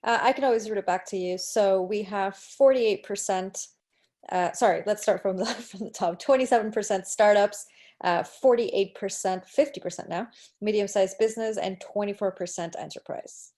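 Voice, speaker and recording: A woman's voice: medium pitch, moderate pace, dark tone. A woman hosting a webinar, introducing the speaker in a friendly way with many "uh"s. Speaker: webinar host. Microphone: video call.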